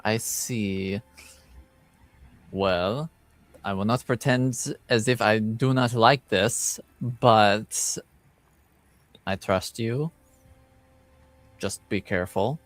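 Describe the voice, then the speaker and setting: A man's voice: fairly low pitch, very slow, long pauses, dry recording. A man playing a caring, nervous older uncle in a tabletop fantasy game. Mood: worried, resigned.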